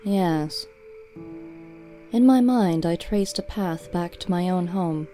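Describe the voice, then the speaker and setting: A woman's voice: on the low side, moderate pace, mellow, soft background music. A woman narrator giving a storybook reading of fiction.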